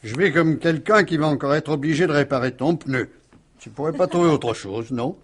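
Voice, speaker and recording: An actor playing a kindly, indulgent middle-aged uncle in a French radio mystery, bookish, gently teasing and reassuring. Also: male voice, on the high side, normal speed, a little hiss or hum, natural voice. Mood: teasing, fond.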